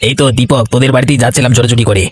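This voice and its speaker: পুরুষ কণ্ঠে খুব দ্রুতভাষী গ্রাম্য লোক. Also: male voice, medium pitch, fairly even intonation, rapid-fire, lightly acted. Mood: urgent, grave. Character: hurried village man bearing bad news. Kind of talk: Bengali animated ghost-story voice acting.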